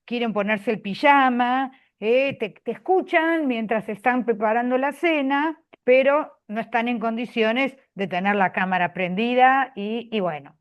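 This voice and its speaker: A woman's voice: a little high, a little slow, hardly any pauses, muffled recording. An Argentine woman hosting a video call, talking chattily and dropping frequent "eh" fillers, speaking from a public office. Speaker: host. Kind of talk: video call closing remarks.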